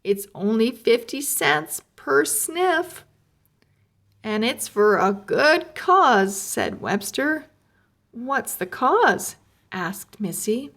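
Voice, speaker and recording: Lady reading a kids book aloud real slow, bit of vocal fry, goes up high for the characters. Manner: slow, expressive, lifts pitch for characters' lines. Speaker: read-aloud narrator. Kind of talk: children's book read-aloud. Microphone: close mic, camera over an open book.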